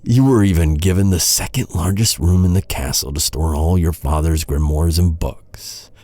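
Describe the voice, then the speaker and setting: An actor playing a bitter, sardonic knight who taunts a royal captive with mock courtesy in a dark fantasy audio roleplay. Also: male voice, deep voice, no echo. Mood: menacing, resentful. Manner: sardonic, mock-courteous, brooding, with sighs and pauses.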